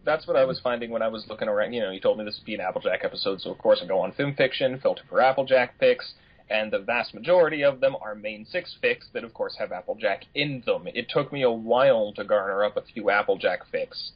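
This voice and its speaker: A man co-hosting a podcast in casual chat, with a slightly husky voice. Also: on the low side, fast talker. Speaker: podcast co-host. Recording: dull lo-fi podcast audio.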